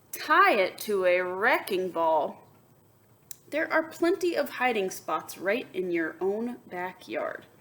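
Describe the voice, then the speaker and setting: A woman reads a children's picture book at a slow, unhurried pace, as a teacher does for students. Her delivery is expressive, with emphatic story lines and softer asides. There is noticeable background noise in the recording. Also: medium pitch. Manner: expressive, switches between emphatic reading and soft, wondering asides. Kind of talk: children's read-aloud with asides and questions to students.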